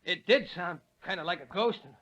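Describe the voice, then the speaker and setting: A man's voice: high voice, lively intonation, a little fast, a bit bright, lo-fi sound. A man playing a dim, skeptical backwoods henchman in an old radio drama, talking in folksy slang. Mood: nervous. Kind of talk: 1930s-40s radio drama acting.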